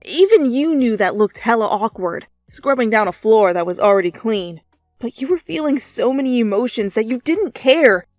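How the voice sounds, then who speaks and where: Animated, higher-pitched woman narrating a story. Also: normal speed, no room sound. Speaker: fan fiction reader and narrator. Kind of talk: second-person dramatic story narration. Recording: close, dry, music behind.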